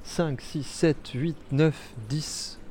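Mellow-voiced male reporter. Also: fairly high pitch, steady tone, very slow, slight background noise. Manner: curious, playful questions. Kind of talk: on-site interview.

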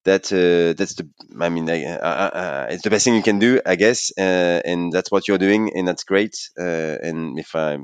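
A man's voice: deep voice, normal speed, few pauses, smooth, no echo. A man hosting an interview, asking questions hesitantly with stumbling restarts. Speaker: interviewer, podcast host.

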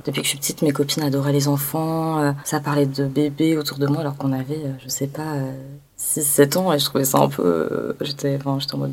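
A woman's voice: deep voice, darkish tone. A woman in her twenties giving a frank, casual personal testimony as an interviewee, slightly wry. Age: 20s.

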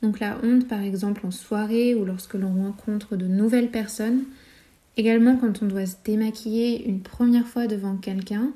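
A woman's voice: medium pitch, steady tone, normal speed, warm tone. A woman in her twenties from France sharing a personal story candidly, hesitantly searching for words as she reflects. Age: 20s. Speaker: guest sharing personal testimony.

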